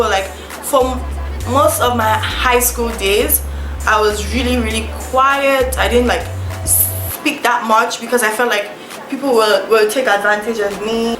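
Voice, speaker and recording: Young Nigerian woman, vlogger, chatty and rambling, slightly high voice, echoey room with music. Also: moderate pace. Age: young adult. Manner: chatty, full of fillers and self-corrections. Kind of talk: casual storytelling to camera. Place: small indoor room, seated before a plank backdrop.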